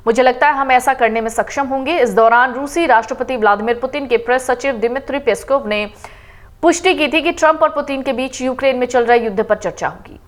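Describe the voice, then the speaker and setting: A woman reading a scripted news report in a steady, formal manner. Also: fairly high pitch. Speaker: news reader.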